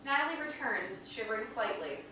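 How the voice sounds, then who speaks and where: A woman's voice: fairly high pitch, lively intonation, fairly quick, sharp tone. A woman reading stage directions as scripted narration at a staged play reading. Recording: very echoey hall, distant mic, faint noise.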